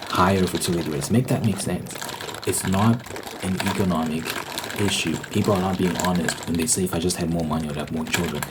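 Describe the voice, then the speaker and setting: A speaker giving a casual, opinionated ASMR ramble, emphatic and repeating points to drive them home. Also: low-pitched. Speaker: host. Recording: clearly noisy background.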